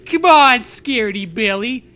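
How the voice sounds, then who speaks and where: A man acts out a cheeky, sarcastic girl from a comic horror storybook. The lines are punchy and taunting, pitched high with a very bright, forceful edge. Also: animated, unhurried, clean tone, faint music behind, exaggerated voice. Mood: playful taunting. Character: Zoe, teasing, sarcastic girl in a baseball cap.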